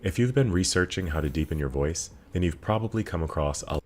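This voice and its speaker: A man narrating a scripted self-help video in a smooth instructional way, with faint music under him. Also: sits low, animated, a little fast, a little mellow.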